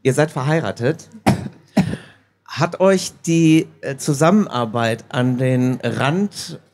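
A man with a slightly husky voice moderating a casual live interview, putting questions to guests. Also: mid-range voice, animated, slow pace, darkish tone. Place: small stage at a book fair. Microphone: handheld microphone.

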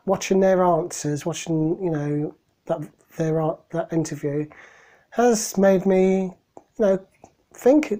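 Man, middle-aged, hoarse with a cold, slow, mellow, halting, home webcam. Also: high voice. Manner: halting, emotional, many restarts. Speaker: vlogger. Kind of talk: personal video diary. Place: small room at home, indoors. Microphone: webcam at desk.

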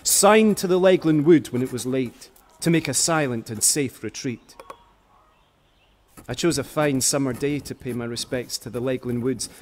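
A man's voice: medium pitch, normal speed, close to an everyday voice. An actor playing a proud, ardent young Scottish poet of the 1700s, reading his letters formally and poetically in a radio drama. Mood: ardent, reverent.

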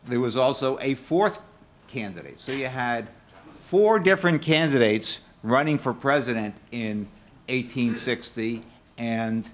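Measured older man explaining, unhurried. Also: medium pitch. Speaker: panelist. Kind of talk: explanatory answer to audience. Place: auditorium at a panel table. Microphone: table microphone.

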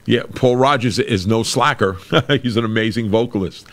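A middle-aged man with a very deep voice, chatting casually as a podcast host over a video call. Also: moderate pace, crowd noise. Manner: chatty, with restarts and laughs. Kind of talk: casual interview chat. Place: room with a brick wall.

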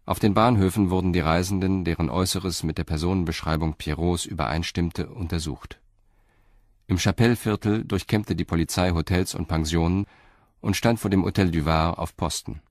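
A man narrating a scripted crime story as a radio drama narrator, in a sober, measured manner. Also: sits low, no echo, studio quality.

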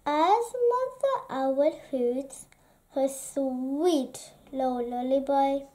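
Female bedtime-book reader, high and mellow, lulling delivery with pauses between phrases. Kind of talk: read-aloud bedtime story narration.

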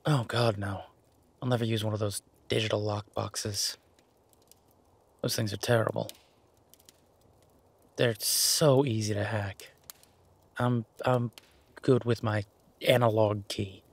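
Husky, low-voiced hesitant boyfriend played by a man. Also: steady tone, takes it slowly, pauses often, full character voice. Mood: wry, relaxed. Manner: hesitant, soft-spoken, gently teasing. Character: gentlemanly, shy romantic werewolf boyfriend, adult man. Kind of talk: intimate romantic audio roleplay.

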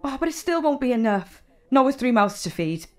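An actress playing a practical, worried young mother, brisk and plain-spoken, in an audio drama. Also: high-pitched, sing-song, a little mellow.

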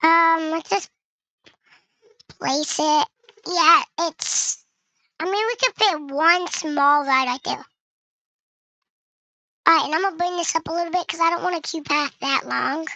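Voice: extremely high pitch, a little slow, long pauses, pushed and bright, no echo. A young gamer giving casual gameplay commentary, with the voice run through a voice changer. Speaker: gaming video creator.

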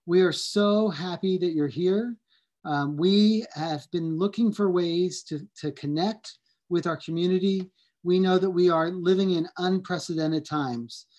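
A middle-aged man, a physician, welcoming people to a community health webinar over a video call, friendly and unhurried with frequent fillers. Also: sits high, a little mellow. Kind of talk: opening remarks and introductions.

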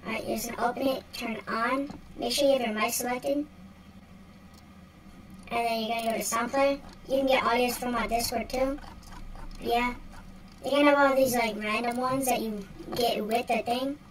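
A child or young teen giving a casual software tutorial in an offhand, slangy way. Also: medium pitch, steady tone, moderate pace. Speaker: tutorial presenter. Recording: distant mic with clear background noise.

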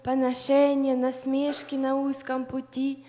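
Young woman, high voice, slow earnest verse, church reverb. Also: monotone, mellow. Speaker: poetry reciter. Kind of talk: recited devotional poem. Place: large church hall. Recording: long church hall reverb.

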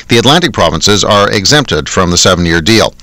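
A man's voice: sits low, very animated, fairly quick, pushed and bright, no echo. A man with a Canadian accent reading a scripted hourly radio newscast as anchor.

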